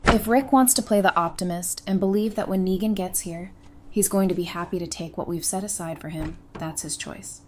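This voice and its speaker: A woman's voice: lowish voice, steady tone, a little fast, darkish tone, slight background noise, close to an everyday voice. A woman playing a strong-willed, bitter survivor with a firm, sardonic manner in an audio drama. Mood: bitter resolve.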